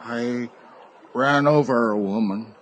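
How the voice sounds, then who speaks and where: An actor playing a tormented, guilt-ridden judge with halting, desperate delivery in a radio drama. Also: male voice, medium pitch, lightly acted. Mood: dazed. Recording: noisy radio drama recording.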